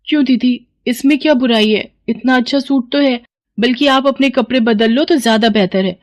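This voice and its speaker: A woman's voice: on the high side, moderate pace, no room sound, clean studio sound, lightly acted. A woman voicing a sweet, simple younger sister. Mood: gentle. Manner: gentle, meek.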